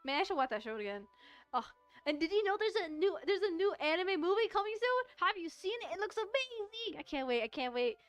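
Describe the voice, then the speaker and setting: A woman's voice: very high voice, very animated, brisk, fairly bright tone. A woman streaming a visual novel, mixing casual, rambling, playful chat with reading aloud. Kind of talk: casual let's-play chat and read-aloud. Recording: music behind the voice. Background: music.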